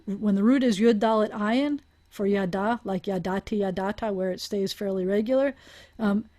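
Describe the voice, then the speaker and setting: A woman's voice: mid-range voice, darkish tone. A woman teaching a language class over a video call, explaining aloud with restarts and small laughs. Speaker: Hebrew teacher.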